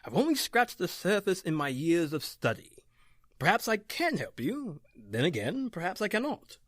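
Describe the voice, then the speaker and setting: An actor playing an elderly scholarly doctor of the occult in old-time radio horror style, measured and musing, muttering hesitantly. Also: male voice, sits high, sing-song, fairly bright tone, dry recording, clean studio sound. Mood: musing, curious.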